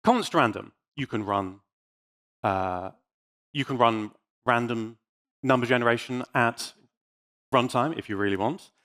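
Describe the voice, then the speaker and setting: A man's voice: mid-range voice, unhurried, long pauses, fairly bright tone. A man giving an informal conference talk on tech in a playful, dryly joking and mock-defiant manner. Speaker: conference speaker.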